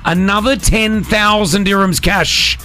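A man hosting a morning radio show, energetic and upbeat as he runs a cash quiz. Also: high-pitched, unhurried, soft background music. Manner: energetic, hypes up prizes. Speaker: radio host. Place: radio studio. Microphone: studio mic.

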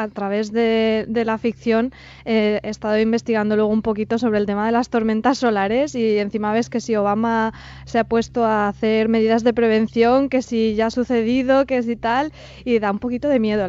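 A woman's voice: fairly high pitch, darkish tone, lo-fi sound. A woman chatting casually as a podcast panelist. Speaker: podcast panelist, series expert. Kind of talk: casual chat.

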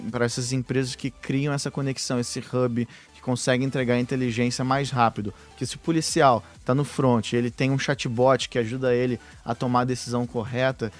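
Homem explicando com muitas hesitações. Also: male voice, mid-range voice. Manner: explains at length with many fillers and restarts. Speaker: podcast co-host. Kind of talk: casual tech podcast chat.

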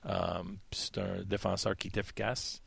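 A man, debating hockey trades on a radio show, halting and repeating words as he thinks. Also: low-pitched, level tone, vocal fry, fairly bright tone, breathy edge, dry recording. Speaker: hockey panelist. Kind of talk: casual sports debate.

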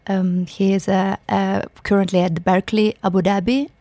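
A woman's voice: medium pitch, little pitch movement, normal speed, dark tone, no echo. A woman from Ukraine with a Ukrainian accent, talking casually as a musician guest in an interview.

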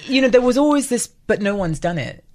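A woman's voice: on the high side, big pitch swings, fast talker, over a noisy crowd. A woman interview guest speaking reflectively in loose, rambling phrases full of "you know". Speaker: interview guest, media entrepreneur.

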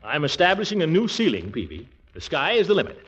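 Pompous, wildly animated old-time radio uncle. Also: male voice, a little high, fast, husky, exaggerated voice. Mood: self-important, huffy. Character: pompous, blustering middle-aged uncle, vain small-town big shot. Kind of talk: 1940s radio comedy acting. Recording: muffled vintage 1940s radio recording.

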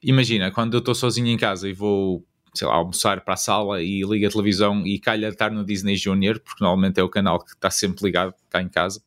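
A man with a European Portuguese accent chatting casually in an interview, in a hesitant, halting way. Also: low voice, steady tone, a bit bright. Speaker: interviewed father.

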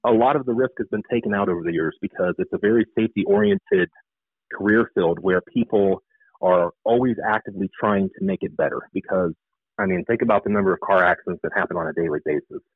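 A man's voice: low-pitched, fast talker, breathy edge, no room sound. A man giving informal, explanatory answers as a guest in a radio interview, often rephrasing himself. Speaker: interview guest, author and air traffic controller. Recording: narrow phone-like line.

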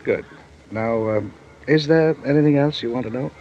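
An actor playing an older businessman, measured, courteous and guarded, in old-time radio style. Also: mid-range voice, darkish tone, lightly acted. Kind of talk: 1940s radio acting. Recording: muffled, noisy old radio recording.

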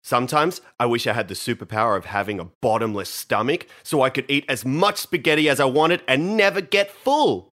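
A man narrating a children's story in an expressive, playful style. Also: mid-range voice, fairly quick, hardly any pauses, close to an everyday voice.

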